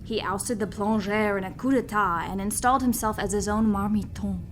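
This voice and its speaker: A woman playing a blunt, deadpan space-station nurse in a sci-fi comedy audio drama, sounding wry and exasperated. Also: medium pitch, normal speed, a little hiss or hum, natural voice.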